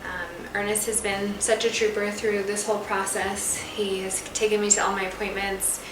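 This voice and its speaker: A woman's voice: mid-range voice, level tone, moderate pace. Woman in her thirties, earnest, sincere storytelling, distant mic, hissy background noise. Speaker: wife sharing her infertility story. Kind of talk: heartfelt personal storytelling. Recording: roomy, distant, noisy.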